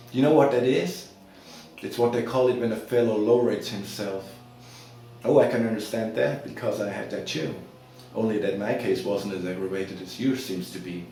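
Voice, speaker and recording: Self-assured young gentleman played by a male actor, folksy stage-play advice giver, very roomy sound with faint noise. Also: mid-range voice, voice pushed down, lightly acted. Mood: confident, friendly. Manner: folksy, self-important, advising. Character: self-assured, chatty, well-meaning young gentleman caller. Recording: echoey room, distant mic, noise.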